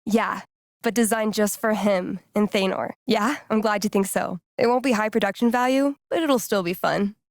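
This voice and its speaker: Actress as wry young woman, slightly gravelly, dry sound. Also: mid-range voice, moderate pace, dry recording, lightly acted. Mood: warm, earnest. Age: young adult. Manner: casual, wry, playful teasing. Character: wry young woman, teasing, loyal friend and radio DJ. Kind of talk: naturalistic video game dialogue acting.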